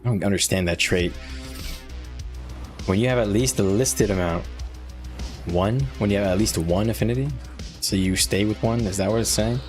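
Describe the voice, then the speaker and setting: Male game streamer, slightly low voice, irritable and profane, mulling things over aloud with faint music underneath. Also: normal speed, long pauses. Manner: frustrated, swearing, thinking aloud. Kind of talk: live gameplay commentary.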